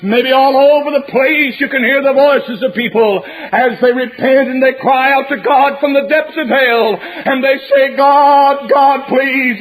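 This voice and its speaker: A male preacher with a strikingly high voice delivers a fire-and-brimstone sermon. His delivery is dramatic and repetitive, stretching out phrases as he drives each warning home. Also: rough recording.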